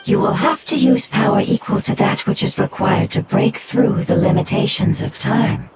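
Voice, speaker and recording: A performer voicing a small forest spirit creature in a video game, who speaks in a formal, solemn, breathy way. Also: mid-range voice, moderate pace, close to an everyday voice. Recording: loud game soundtrack playing behind. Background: loud game soundtrack music.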